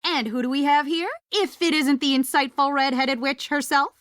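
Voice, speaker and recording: Coven witch, high-pitched, welcoming, theatrical, video game, dry. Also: fairly even intonation, normal speed, fairly bright tone, no room sound. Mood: playfully grand.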